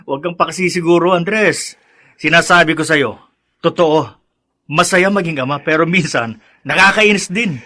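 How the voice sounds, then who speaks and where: An actor playing a jovial, teasing, knowing family friend in a Filipino radio drama. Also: male voice, a little high, measured pace, long pauses, pushed and bright.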